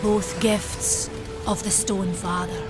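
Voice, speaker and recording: A woman's voice: medium pitch, little pitch movement, slow pace, loud music behind the voice, natural voice. A woman playing a stern, proud forge Maker of an ancient race in a fantasy game, solemn and commanding, with old-fashioned wording. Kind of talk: dark fantasy game acting.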